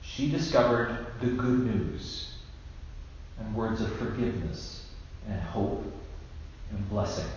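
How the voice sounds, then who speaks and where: A man in his fifties, a bishop giving a measured, deliberate sermon with emphatic pauses. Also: lowish voice. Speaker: bishop preaching. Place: indoors in a church at a lectern. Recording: very echoey church, dull sound, faint noise.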